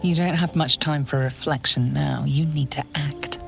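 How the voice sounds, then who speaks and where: A woman playing an ominous, all-knowing narrator of a dark fantasy game, grave and theatrical. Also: low-pitched, fairly even intonation, moderate pace, gravelly, over music. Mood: grim, ominous. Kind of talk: second-person scripted game narration.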